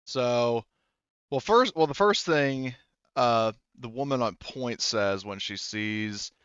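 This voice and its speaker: A man narrating a tabletop roleplaying game as game master, in a halting, rambling way as if thinking aloud. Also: medium pitch, a bit bright, smooth, no echo, muffled recording.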